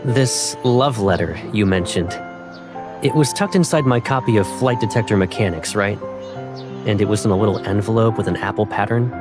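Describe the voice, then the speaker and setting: Guy doing a soft lowish young boyfriend type from a romance game, gentle n teasing, music under it. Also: normal speed, natural voice. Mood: gentle probing. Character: tender, teasing young male college graduate, devoted sweetheart. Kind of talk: fan dub of romance game dialogue. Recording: background music, echoey hall on speech.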